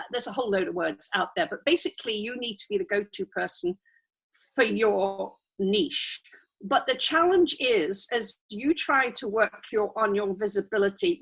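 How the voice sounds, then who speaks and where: A woman guest trainer with a croaky voice, explaining in a chatty way with asides and restarts over a video call. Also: mid-range voice, a bit bright, poor audio. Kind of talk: casual live training.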